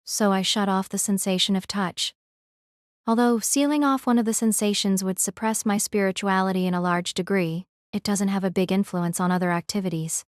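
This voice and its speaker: A gentle noble lady, played by a woman in an audio drama. She is polite and composed, at a middle pitch, with a very dry, close sound. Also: dry recording, natural voice.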